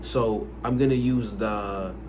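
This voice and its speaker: Casual male vlogger, first language Haitian Creole, slightly low voice, loose filler-heavy delivery, noisy recording. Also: fairly even intonation, slow pace. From United States. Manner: rambling, filler-heavy, conversational. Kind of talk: casual talk to camera. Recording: noisy background.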